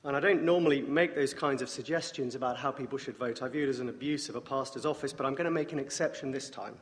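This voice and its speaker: An English man preaching as a guest speaker, with a wry, self-deprecating humor and a voice deeper than usual from a morning rasp. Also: fast. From England. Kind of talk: sermon with dry jokes. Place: church sanctuary. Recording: long church reverb.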